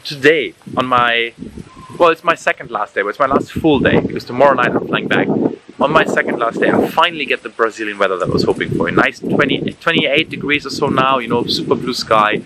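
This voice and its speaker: A man's voice: mid-range voice, fast talker. A man talking casually and chattily to his viewers as a vlogger. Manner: chatty, addresses viewers directly. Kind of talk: casual vlog talk to camera. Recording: noisy on-location audio with vehicle ambience.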